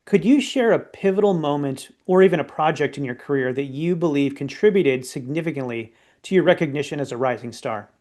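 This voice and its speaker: Polite male interviewer, slightly high voice. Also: expressive, a little fast, few pauses, a little mellow. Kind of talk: scripted interview questions.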